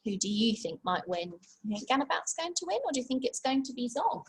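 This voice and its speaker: A woman's voice: a little high, sing-song, fast talker. A woman teacher reading a picture book aloud to young children, expressively, with simple chatty asides and questions. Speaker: infant school teacher.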